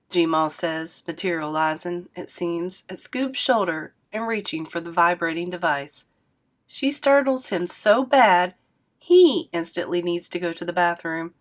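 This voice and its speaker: Woman reading a novel aloud. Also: lowish voice. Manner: steady, expressive storytelling. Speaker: read-aloud host.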